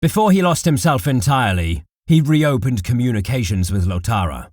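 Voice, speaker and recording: A man narrating dark war fiction as a scripted audiobook, in a deliberate, dramatic manner. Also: mid-range voice, animated, no echo, clean studio sound.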